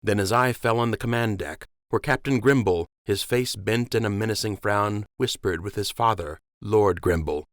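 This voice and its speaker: A man narrating an audiobook with expressive storytelling on a close studio mic. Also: lowish voice, moderate pace, hardly any pauses, no echo.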